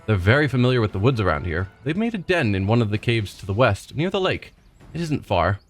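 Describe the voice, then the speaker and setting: Wounded male werewolf in a fantasy game, bitter and wry, low and dry, read by a man. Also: sing-song, a little fast, soft background music.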